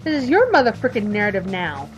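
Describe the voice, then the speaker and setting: A woman in her thirties reading a visual novel aloud and chatting about it, emphatic while reading and quiet when talking about herself. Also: medium pitch, sing-song, fast talker, muffled recording, faint music behind. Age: 30s. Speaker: let's play streamer reading a visual novel. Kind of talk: reading game text aloud and commenting.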